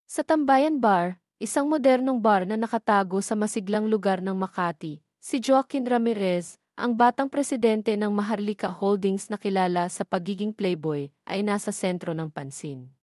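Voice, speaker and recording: A woman's voice: mid-range voice, normal speed, darkish tone, no echo, studio quality. A woman with a Filipino accent reading scripted narration for a romantic audio drama. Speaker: story narrator.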